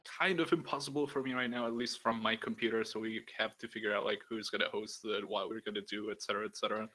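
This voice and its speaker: A young man with a deep voice hosting a podcast, chatting casually and rambling with lots of "like" and the odd swear. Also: fairly even intonation, fast, pushed and bright, rough recording. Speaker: podcast host. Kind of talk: casual chat.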